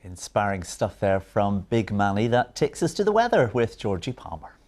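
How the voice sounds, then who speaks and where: Deep-voiced male newsreader. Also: big pitch swings, moderate pace, a little mellow. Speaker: news presenter. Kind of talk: scripted broadcast news reading.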